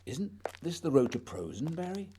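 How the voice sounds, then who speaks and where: A man's voice: on the high side, big pitch swings, moderate pace, dark tone, a little hiss or hum. A man playing a proud, determined naval explorer in a radio play, speaking earnestly and dramatically, often questioning. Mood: eager, questioning.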